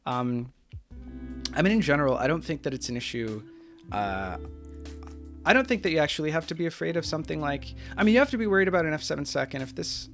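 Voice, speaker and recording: Man talking through a chess game review. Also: on the high side. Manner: rambling, with many fillers and restarts. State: strained throat after long talking. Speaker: streamer reviewing chess games. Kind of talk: casual game analysis.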